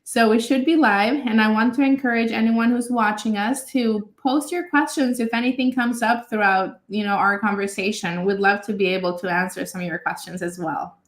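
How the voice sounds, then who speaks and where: A woman from Honduras hosting a live webinar, speaking in a friendly, slightly halting way. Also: medium pitch, steady tone, reverberant. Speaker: host.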